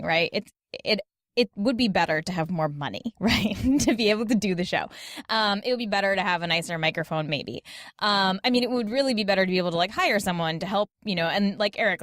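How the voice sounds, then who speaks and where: A woman's voice: mid-range voice, lively intonation, fairly quick, fairly bright tone, no room sound, clean studio sound. A woman talking casually as a podcast guest, chatty and informal with an emphatic way of making her points. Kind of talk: casual conversational panel talk. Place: small closet at home.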